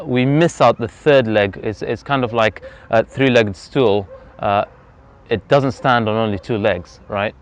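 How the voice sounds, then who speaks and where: Earnest man, smooth low voice. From Zambia. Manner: earnest, reflective, measured. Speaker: interview guest. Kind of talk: personal story in an interview. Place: outdoors on a freezing day. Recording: slightly dull lo-fi outdoor recording.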